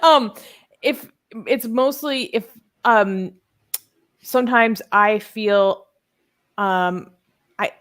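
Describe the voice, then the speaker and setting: A woman's voice: medium pitch, drawn-out words, long pauses, fairly bright tone. A young woman co-hosting a live Q&A chat, thinking aloud and hesitant, with many ums. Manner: thoughtful, hesitant, lots of ums and laughs. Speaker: co-host.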